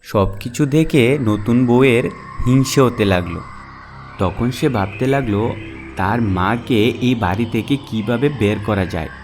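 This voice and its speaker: A man's voice: medium pitch, a little slow, darkish tone. A man reading scripted narration as the storyteller of a folk tale. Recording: music behind the narration.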